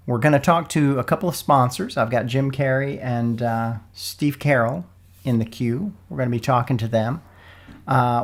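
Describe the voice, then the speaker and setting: A man hosts a relaxed sponsor podcast in a mid-pitched voice. He sounds a little froggy, as if getting over a cold. His delivery is informal and folksy. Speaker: podcast host. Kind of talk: casual sponsor interview podcast.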